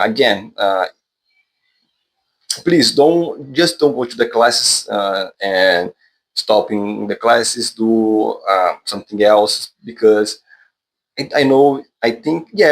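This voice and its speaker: Low-pitched Brazilian man, halting learner English. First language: Portuguese. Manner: hesitant, halting, self-correcting non-fluent English. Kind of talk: unscripted casual monologue to viewers.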